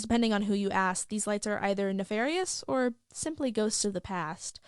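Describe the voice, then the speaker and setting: A woman's voice: medium pitch, dry recording, clean studio sound, lightly acted. A woman playing a gossipy small-town after-school news reporter, narrating in a conversational, wry and inquisitive way. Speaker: reporter and host. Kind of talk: scripted fictional news narration.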